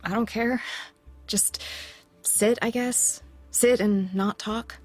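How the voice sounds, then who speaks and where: A woman's voice: on the high side, fairly even intonation, takes it slowly, darkish tone, soft background music. An actress playing a guarded teenage schoolgirl in an audio drama, speaking in a terse, sullen and sardonic way. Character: guarded, brooding teenage girl back at school. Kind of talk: naturalistic audio drama acting.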